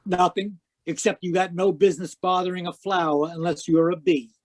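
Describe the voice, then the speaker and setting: An actor playing a world-weary, wisecracking private detective in 1940s radio noir style. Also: male voice, high voice, level tone, fairly quick, no echo. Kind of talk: 1940s radio noir acting, first-person narration. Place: home room, live video call performance.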